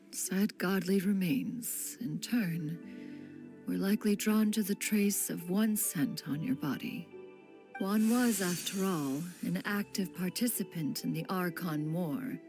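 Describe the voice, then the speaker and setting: Lofty, formal immortal adeptus. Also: female voice, on the low side, vocal fry, darkish tone. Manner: archaic, lofty diction, refers to herself as one. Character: proud, formal female crane adeptus, ancient and wise. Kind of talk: scripted game dialogue, storytelling. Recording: game music behind the voice.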